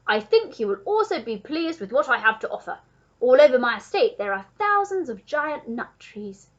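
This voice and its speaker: A grand storybook Duke, performed by a woman, loud and exuberant, with very lively swings of pitch. He is an emphatic, generous aristocrat, pitched high. The audio is slightly muffled. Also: normal speed.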